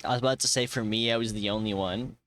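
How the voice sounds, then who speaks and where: A man's voice: on the low side, fairly even intonation, quick pace, a bit bright, dry recording. A young man of Thai heritage chatting casually on a live stream, reading chat aloud, swearing and laughing often. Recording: faint music behind.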